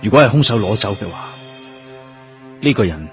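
An actor playing a composed, methodical police detective in a Cantonese radio drama, with a Hong Kong accent and a measured, probing, matter-of-fact delivery. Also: male voice, fairly low pitch, animated, faint music behind, natural voice. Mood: pensive, analytical. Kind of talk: radio drama acting, questioning and inner monologue.

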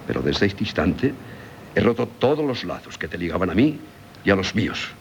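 An actor playing a middle-aged, devoted and sorrowful doctor husband in a radio drama, solemn and theatrical, with a Castilian Spanish accent. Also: male voice, deep voice, very animated, moderate pace, creaky, heavily acted. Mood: sorrowful resignation. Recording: slightly noisy 1970s radio recording.

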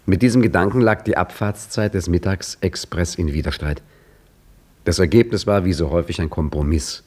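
A restless, husky-voiced seeker. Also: male voice, deep voice, normal speed, darkish tone. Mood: reflective. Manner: reflective in narration, flustered in dialogue. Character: earnest, restless man hunting a secret name. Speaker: narrator and main character. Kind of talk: 1950s radio drama acting.

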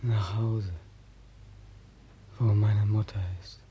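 An actor playing a despairing, homeless soldier back from war, emotional and pleading, in 1940s radio drama style. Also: male voice, on the low side, flat delivery, slow, dull audio, slight background noise. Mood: longing, hushed. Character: despairing returned soldier, homeless, anguished and naive. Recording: dry 1940s radio recording.

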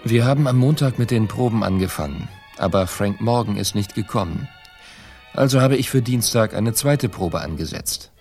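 A man's voice: on the low side, lively intonation, moderate pace, a little mellow, soft background music. A man voicing a veteran radio director who recounts an anecdote conversationally in a documentary. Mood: wry storytelling. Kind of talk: voice-over of recollections in radio documentary.

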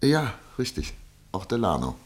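An actor playing an eloquent, pompous former prosecutor, now a judge, in a German radio play, speaking in deliberate rhetorical phrases. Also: male voice, fairly low pitch, sing-song. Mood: measured, lecturing.

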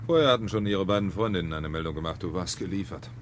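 A man's voice: sits low, quick pace, slight background noise, lightly acted. An actor playing a smug, menacing fire captain who lectures his fallen subordinate in a mocking, pontificating way, in 1970s German radio drama style. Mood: scornful.

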